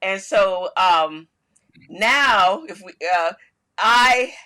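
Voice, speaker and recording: Loud, lively older woman storyteller. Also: mid-range voice, very slow, clear, resonant tone. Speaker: podcast co-host. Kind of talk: casual storytelling chat.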